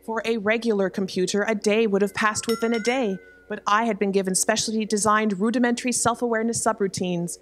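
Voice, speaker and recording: A woman playing a literal-minded self-aware garbage spaceship, measured and matter-of-fact in a staged sci-fi comedy drama. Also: medium pitch, steady tone, natural voice. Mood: reflective. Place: theatre stage, live performance. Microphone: stand microphone.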